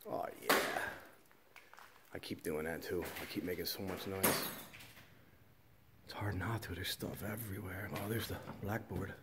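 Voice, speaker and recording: A man's voice: low voice, fairly even intonation, normal speed, pauses often, very noisy. A man exploring derelict buildings, often whispering and exclaiming in awe as he films. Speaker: urban explorer filming. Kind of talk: hushed walkthrough commentary. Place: derelict school buildings indoors.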